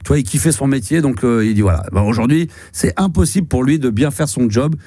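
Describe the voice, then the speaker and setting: Man in his 50s, radio host, quick rambling talk, mellow voice, dry studio sound. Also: medium pitch, lively intonation, no room sound. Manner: rambling, many fillers and restarts. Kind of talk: casual radio chat with a caller.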